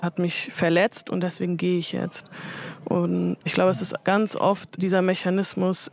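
A woman giving thoughtful interview answers in long, measured sentences as a dialogue group organizer. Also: on the low side, vocal fry, darkish tone.

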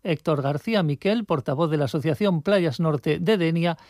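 A man's voice: fairly high pitch, moderate pace, a little mellow, no room sound, clean studio sound. A man with an accent from Spain delivering a scripted radio news report.

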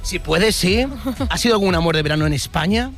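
A man's voice: sits high, a little fast, fairly bright tone, faint music behind. A man interviewing people on the street as a reporter, chatty and teasing, with lots of exclamations and follow-up questions. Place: street, outdoors. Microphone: handheld microphone.

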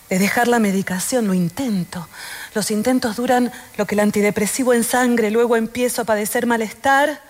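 An actress playing an anxious, self-deprecating woman of forty in a slow, deliberate theatre monologue. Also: fairly high pitch, lightly acted.

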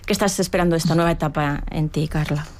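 A woman's voice: sits low, steady tone, fast, a little mellow. A woman hosting an interview, asking formal, long multi-part questions. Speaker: host.

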